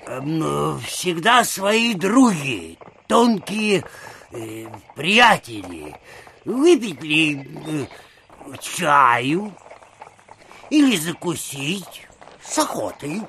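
Slow, high-voiced rambling tipsy coachman. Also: creaky, fairly bright tone, a little hiss or hum, heavily acted. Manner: halting, muttering, slurred drawl with hems and sighs. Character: rambling, folksy, tipsy male coachman, servile yet familiar. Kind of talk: audio drama acting of a classic novel.

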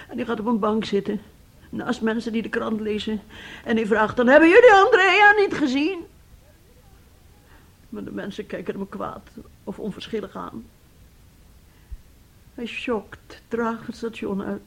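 An actress in a radio play voicing a despairing middle-aged mother, with a brooding, anguished way of speaking. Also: on the high side. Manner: slow, brooding, anguished storytelling. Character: despairing mother, an aunt, anguished over her missing son. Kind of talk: Dutch-language radio play acting.

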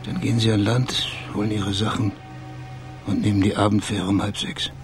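An actor playing a sleuth in a German radio crime drama, with a gravelly voice and a terse, measured, matter-of-fact manner. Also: male voice, lowish voice, level tone, faint music behind, lightly acted. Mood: calm, grave. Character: low-voiced male sleuth calmly reconstructing a murder.

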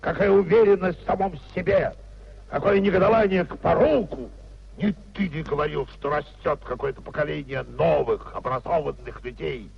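An actor playing a bitter, domineering middle-aged official with a gravelly voice and mocking, declamatory delivery in a 1950s radio drama. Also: male voice, on the high side. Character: bitter, contemptuous high-ranking official, domineering husband and uncle. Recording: dull, noisy 1950s radio recording.

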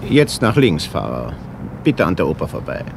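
An actor playing an eager, proud official city guide in a radio play. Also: male voice, very deep voice, wildly swooping pitch, moderate pace, heavily acted. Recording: clear street and vehicle noise. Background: traffic ambience.

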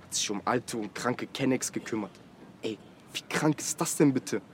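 A man's voice: mid-range voice, normal speed, a little hiss or hum, natural voice. A young man playing a blunt, worried working-class lad in a naturalistic radio drama, talking in brash street slang. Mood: worried, scornful. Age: young adult.

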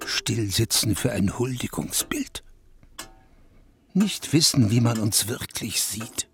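An actor playing a proud, brooding, weary seventeenth-century ruler in a radio play, pompous in manner, with a gravelly, husky voice. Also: male voice, fairly low pitch, lively intonation, faint music behind, full character voice. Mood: weary, impatient. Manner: pompous, slow, speaks in royal we. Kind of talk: German radio play monologue.

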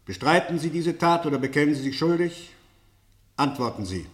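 An actor playing a stern general presiding over a court-martial in a German radio play, formal and severe. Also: male voice, a little high, animated, moderate pace, natural voice. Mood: stern, accusing. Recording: roomy, echoing courtroom sound.

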